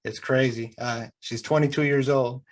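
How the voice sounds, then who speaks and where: A man's voice: mid-range voice, fairly even intonation, moderate pace. A man in his forties with an American accent hosts a casual podcast conversation. He speaks informally and appreciatively, in an agreeable way. The delivery is plain, unscripted chat. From United States. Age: 40s. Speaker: podcast host.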